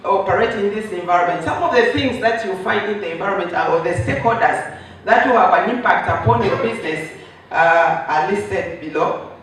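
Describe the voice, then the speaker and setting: A hoarse man with a high voice gives a workshop talk, explaining his points step by step and answering his own rhetorical questions. He is heard from a distance over a microphone. The sound carries heavy echo from a large hall. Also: a bit bright. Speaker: workshop presenter. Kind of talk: conference presentation. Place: conference hall. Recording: roomy echo, voice from a distance, faint noise.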